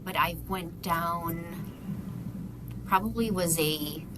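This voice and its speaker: A woman of fifty from Buffalo, speaking in a low voice as a podcast guest. She is friendly and modest and fills her pauses with ums, while noise runs steadily behind her. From Buffalo, New York. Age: 50s. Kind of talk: casual interview chat.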